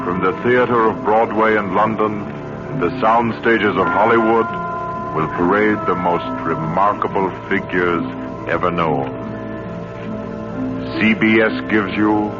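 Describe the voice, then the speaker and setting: A man announcing in a scripted 1940s radio style, with a formal, grand and measured delivery. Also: on the low side. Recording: muffled old radio broadcast, loud music.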